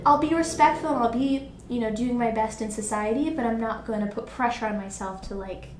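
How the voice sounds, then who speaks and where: A woman's voice: on the high side, a little fast. A woman hosting a podcast in casual conversation, thinking aloud earnestly with plenty of filler phrases. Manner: earnest, thinking aloud, frequent "like" and "you know". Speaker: podcast host. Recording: echoey room, faint background noise.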